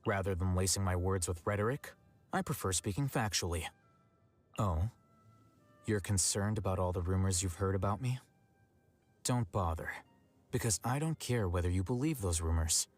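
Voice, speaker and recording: An actor playing an aloof, blunt male scholar in a video game, speaking in a dry, measured, matter-of-fact way. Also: sits low. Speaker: game character. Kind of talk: scripted game character voice lines. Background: music.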